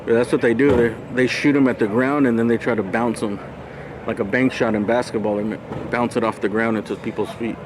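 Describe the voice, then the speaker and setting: A man's voice: mid-range voice, fairly even intonation, a little fast. Casual slangy man over outdoor street noise. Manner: slangy, swearing, bro-talk. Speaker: live streamer. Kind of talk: casual live stream chat.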